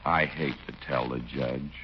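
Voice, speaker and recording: An actor playing an easygoing, hesitant small-town police chief in a mild, halting 1940s radio comedy style. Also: male voice, very deep voice, fairly even intonation. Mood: resolving. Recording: muffled, hissy 1940s radio recording.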